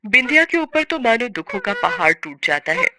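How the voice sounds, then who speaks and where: A woman's voice: fairly high pitch, very animated, normal speed, noisy background. A woman narrating a scripted story in a dramatic storytelling style.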